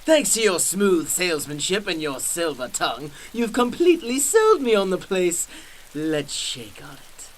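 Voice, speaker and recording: A man's voice: sits high, sing-song, unhurried, a little hiss or hum. A man playing a gleefully sadistic villain clown in a radio drama, mock-polite and flamboyant, drawing out words and cackling. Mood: mocking menace.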